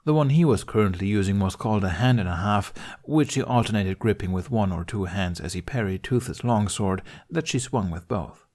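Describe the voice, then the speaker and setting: Guy with a lowish voice reading a story out loud, scripted narration. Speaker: fan fiction podcast narrator.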